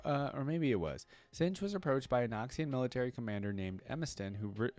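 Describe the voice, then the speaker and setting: A man's voice: lowish voice, darkish tone. A man reading game lore aloud as a reaction creator, breaking off for casual conversational asides.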